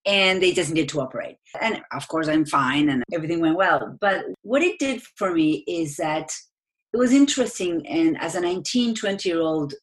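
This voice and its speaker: Low-voiced Argentine woman. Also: animated, normal speed. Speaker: guest. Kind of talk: conversational interview answers.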